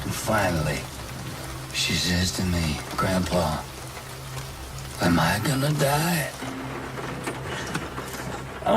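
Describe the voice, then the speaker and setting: An actor playing a tender, weary old grandfather with a gravelly voice, telling a story in a folksy, unhurried way for a game trailer. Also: medium pitch, full character voice. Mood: wistful. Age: older. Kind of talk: cinematic game trailer monologue. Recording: loud music under the voice.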